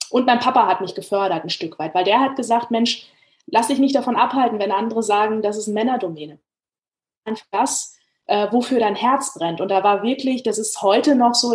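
A woman in her thirties from Germany, an airline pilot telling her story in an interview, talking lively with many fillers. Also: fairly high pitch. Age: 30s.

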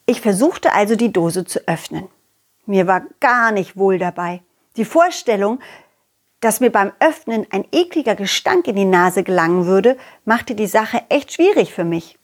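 A woman voicing a confident, loyal, cheerful dog who tells stories to children in an excitable, childlike way, as in an audio play. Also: medium pitch, a little slow. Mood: reluctant, anxious. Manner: excitable, childlike, speaking directly to child listeners. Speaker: puppeteer and storyteller.

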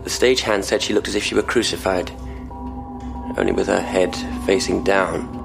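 An actor playing a distraught, sleepless police constable in an audio drama, speaking haltingly and earnestly. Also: male voice, sits low, little pitch movement, gravelly, music in the background. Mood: grim, haunted.

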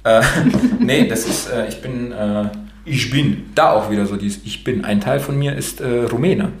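A Romanian-born man raised bilingual in Germany talks with a rolled R, frank and loose, dropping curse words and fillers. His voice sits at an ordinary pitch for a man. The recording carries a noticeable room echo. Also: unhurried, noisy background. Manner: frank, with casual swearing and many fillers. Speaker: podcast host being interviewed. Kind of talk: casual motivational talk.

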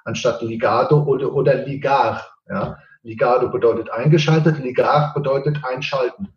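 A man's voice: mid-range voice, normal speed. A Portuguese man speaking German with a Portuguese accent, a professional translator explaining his work in an interview and often tagging sentences with "ja". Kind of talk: interview answers. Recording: roomy, slightly dull sound.

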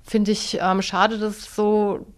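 Casting note: woman, casual chat, thinks aloud, halting delivery, mid pitch. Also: little pitch movement. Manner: hesitant, many fillers and restarts.

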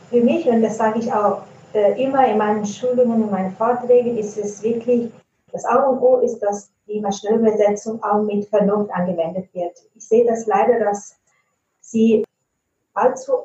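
An Italian woman answers interview questions with a mellow, hesitant delivery, often pausing on filler sounds. She sits away from the mic, so the sound is dull and lo-fi with plenty of room echo. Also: medium pitch. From Italy. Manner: thoughtful, frequent hesitation fillers. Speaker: translator and trainer, interview guest. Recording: roomy, distant, dull lo-fi sound.

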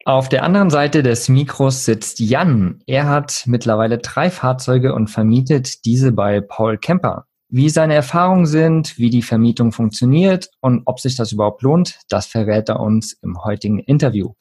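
Enthusiastic podcast host, male, mid-pitched, casual and slangy. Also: normal speed, few pauses, darkish tone, husky. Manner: upbeat, enthusiastic, slangy, laughs often.

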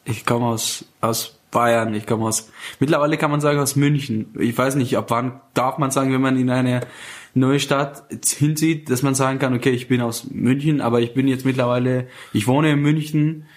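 A young man in his twenties, originally from Afghanistan, talks about his comedy career in a relaxed interview. He speaks at an average pitch and keeps breaking off, restarting his sentences and filling the gaps with hesitations. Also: normal speed. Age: 20s. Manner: hesitant, many fillers and restarts. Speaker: stand-up comedian guest. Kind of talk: casual interview chat.

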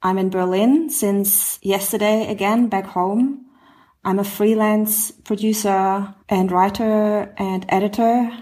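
A woman's voice: mid-range voice, steady tone, slow pace, mellow. A woman in her forties hosting a casual conversational podcast, chatty and thinking aloud as she goes. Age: 40s. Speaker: podcast host.

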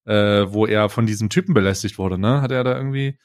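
A man co-hosting a podcast in casual chat, laid-back and halting, with many fillers and restarts. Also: lowish voice. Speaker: podcast co-host.

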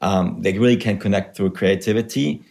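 A man in his thirties from Germany with a German accent, explaining things in a measured way as a podcast guest. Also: fairly low pitch, vocal fry, a little mellow. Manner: measured, explanatory, uses you know. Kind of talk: podcast interview.

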